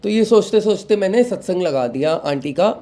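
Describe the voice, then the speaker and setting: A young man, recovering from a sore throat, hosting a devotional talk and giving calm advice to followers while mixing in English phrases. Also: high-pitched, brisk. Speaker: satsang host.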